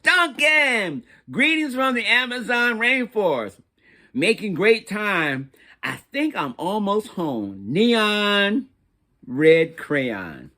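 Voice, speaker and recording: A man's voice: high voice, very animated, takes it slowly, sharp tone, pitched above the performer's own voice, exaggerated voice. A man voicing a cheerful, clueless traveling crayon in a children's storybook, grand and upbeat. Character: cheerful, clueless globe-trotting neon red crayon.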